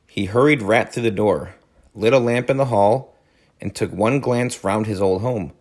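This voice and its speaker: A man's voice: fairly low pitch, moderate pace, a little mellow. A man reading a story aloud as a teacher, adding informal explanatory asides.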